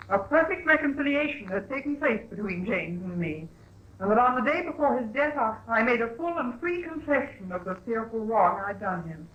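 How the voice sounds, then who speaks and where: High-voiced actress as an accused wife, echoey old radio. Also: female voice, dull audio, close to an everyday voice. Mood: solemn pleading. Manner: formal, deliberate, earnest courtroom statement. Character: accused wife and mother pleading earnestly from the dock. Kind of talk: 1950s radio drama acting.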